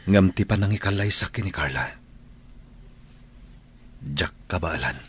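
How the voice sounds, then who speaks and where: Guy playing sorry young ilocano employee in a radio drama, deep raspy voice, humble. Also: unhurried, fairly bright tone, heavily acted. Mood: apologetic, pleading. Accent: Filipino, Ilocano. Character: humble, apologetic young employee refusing to marry.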